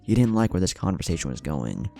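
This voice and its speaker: A man's voice: deep voice, big pitch swings, fast, creaky, mellow. A man reading scripted fan fiction as a narrator. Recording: music under the voice.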